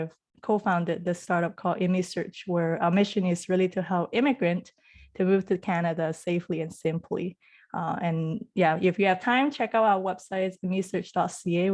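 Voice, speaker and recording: A woman's voice: on the low side, darkish tone. A woman from Hong Kong hosting an online group session over a video call, chatty and friendly. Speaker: host.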